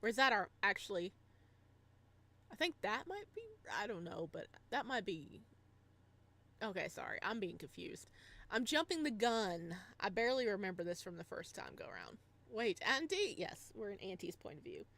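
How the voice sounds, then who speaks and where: A woman's voice: mid-range voice, big pitch swings, moderate pace, bright, forceful tone. A bubbly, giggly woman hosting a livestream, chatting casually with viewers and reading a visual novel aloud. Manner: bubbly, giggly, rambling reactions to chat and story. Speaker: livestreamer and host.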